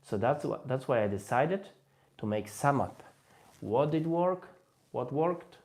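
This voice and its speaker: Male livestream host, native Polish, Polish accent, medium pitch, informal and halting with frequent repeats. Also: animated, a little mellow, slightly rough. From Poland. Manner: halting, repeats and rephrases phrases. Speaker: host, language learner giving advice. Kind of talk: informal livestream talk on language learning.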